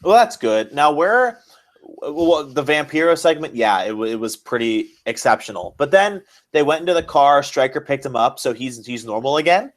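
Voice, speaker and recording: A man's voice: medium pitch, lively intonation, normal speed. Enthusiastic, swearing man chatting about his fandom. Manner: enthusiastic, profane. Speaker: podcast co-host. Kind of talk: casual wrestling fan chat.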